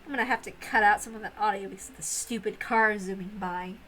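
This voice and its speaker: A woman's voice: medium pitch, steady tone, quick pace, bright, forceful tone, a little hiss or hum, low-quality recording. A woman reading a story aloud, with casual asides in between. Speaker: reader and player of a visual novel.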